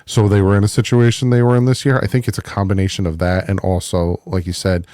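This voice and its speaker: A man talking football in a casual podcast chat, animated and exasperated, slipping into mocking voices. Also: deep voice, fast talker, no room sound, clean studio sound. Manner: animated, mocking the front office in put-on voices. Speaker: guest fan analyst.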